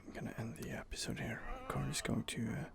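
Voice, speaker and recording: A man's voice: mid-range voice, flat delivery, fairly quick. A man hosting a whispered ASMR let's play, talking hesitantly with many fillers and restarts. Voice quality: whispered, gravelly. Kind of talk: whispered ASMR gameplay commentary.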